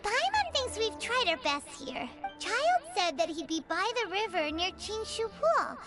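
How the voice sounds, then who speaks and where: A woman's voice: extremely high pitch, a little slow, heavily acted. A woman voicing a tiny, chatty female fairy guide in a video game, talking about herself in the third person, with game music behind. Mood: curious. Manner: chirpy, excitable, speaks of herself by name.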